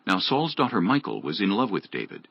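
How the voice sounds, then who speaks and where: A man narrating scripture dramatically from a script, in a gravelly, husky voice. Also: deep voice, wildly swooping pitch, a little fast, no echo, muffled recording.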